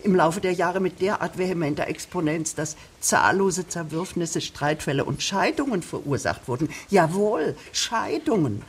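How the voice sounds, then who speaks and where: A woman's voice: on the low side, darkish tone, a little hiss or hum. An actress playing a pompous, verbose and officious female village administrator in a radio drama.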